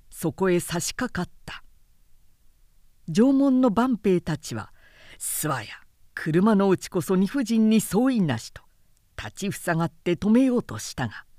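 A woman narrating a literary work as a scripted reading, in a measured, expressive storytelling manner. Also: mid-range voice, dry recording, studio quality.

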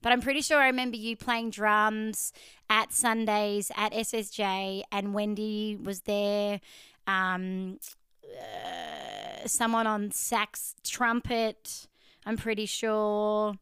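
Chatty woman host, smooth and dry. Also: medium pitch, takes it slowly, dry recording. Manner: chatty, animated storytelling. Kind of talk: casual interview chat.

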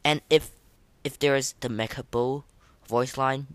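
A podcast host giving casual gaming commentary and ratings in a halting, repetitive way, full of slangy enthusiasm. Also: mid-range voice, fairly even intonation, smooth, dry recording.

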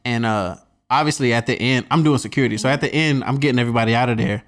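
A man's voice: medium pitch, rapid-fire. A man chatting casually in a podcast group, teasing and joking in loose, profane slang. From Haitian background. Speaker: podcast host.

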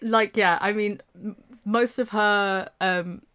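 A young woman with a British accent talks casually, pausing often and restarting her sentences. Her voice is smooth and clear, at an ordinary middle pitch. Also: steady tone, pushed and bright. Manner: hesitant, many fillers and restarts. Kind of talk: casual chat.

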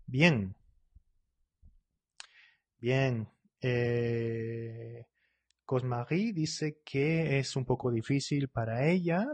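Husky-voiced man teaching live. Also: mid-range voice. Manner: talks quickly, often checks in with "¿vale?" and "¿eh?"; reads out and corrects viewers' comments. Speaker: Spanish teacher. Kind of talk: live online lesson.

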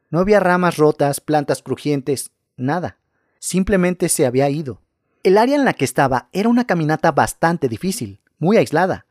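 A man reading scary first-person stories aloud in a calm, measured narration. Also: on the high side, no room sound, studio quality.

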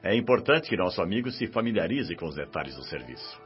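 An actor playing a benevolent, paternal minister in a radio drama, speaking in a measured, sententious way. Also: male voice, low-pitched, sing-song. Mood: welcoming, genial. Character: benevolent, wise government minister of the spirit world. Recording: dull lo-fi radio drama with music.

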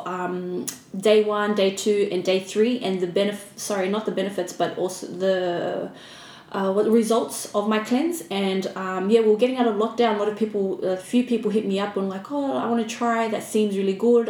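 A woman's voice: medium pitch, a little mellow. A woman chatting casually as a podcast guest. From Fiji-born, raised in Australia. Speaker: podcast guest, small business owner. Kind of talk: casual interview chat. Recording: echoey room with background noise.

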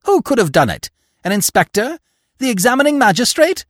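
A man's voice: medium pitch, sing-song, normal speed, no room sound, studio quality. A man voicing a furious, vengeful widow in a storybook, melodramatic and taunting, with a cruel and fearful edge. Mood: alarmed.

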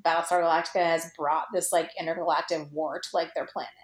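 A woman chatting informally about fan fiction, halting and restarting, with "like" scattered all through her sentences. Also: low-pitched, level tone. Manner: halting, informal, heavy use of "like". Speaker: podcast guest, fan fiction writer. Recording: roomy, echoey sound.

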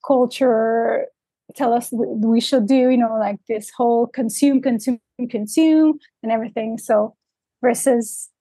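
Woman, life coach guest, reflective, searching, higher voice, dry sound. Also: fairly even intonation, measured pace, warm tone, dry recording, rough recording. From Dominican Republic, raised in Canada. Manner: reflective, searching, with many fillers. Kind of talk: interview conversation. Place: remote, traveling in Mexico.

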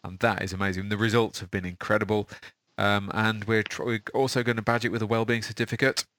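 A man's voice: lowish voice, level tone, fast, fairly bright tone, no echo. Upbeat male podcast host. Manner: matey and upbeat, with frequent repeated starts. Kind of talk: casual podcast interview.

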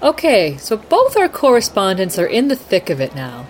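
A woman acts as a composed female anchor for a fantasy war bulletin in a radio play. She asks formal, probing questions with lively, swinging intonation. Faint noise sits under the voice. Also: medium pitch, moderate pace. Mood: businesslike. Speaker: host, news anchor. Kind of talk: radio news broadcast roleplay.

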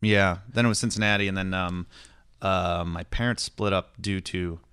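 An American man in his forties from Northern California, a podcast guest telling anecdotes casually in a halting, wry, self-interrupting way. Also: low voice, fairly even intonation, fairly bright tone, clear, resonant tone, dry recording, clean studio sound. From Northern California, United States.

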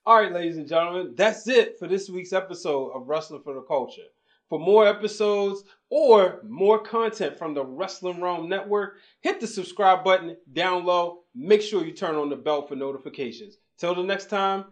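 A man's voice: sits high, moderate pace. A man reading the show's scripted outro in an upbeat announcer delivery. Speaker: show announcer.

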